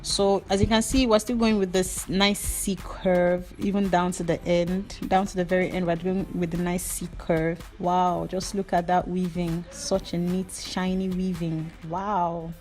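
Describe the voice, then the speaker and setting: A woman's voice: fairly low pitch, flat delivery, unhurried, hardly any pauses, a little mellow, soft background music. A young Nigerian woman with a Nigerian accent and Yoruba as her first language, giving a hair-braiding tutorial voice-over in a playful, gossipy, rambling way.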